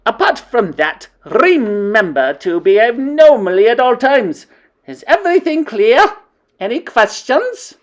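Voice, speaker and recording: A woman voicing a tyrannical female witch leader who barks orders in a shrill, screeching voice. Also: big pitch swings, measured pace. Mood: commanding. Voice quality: screeching, commanding.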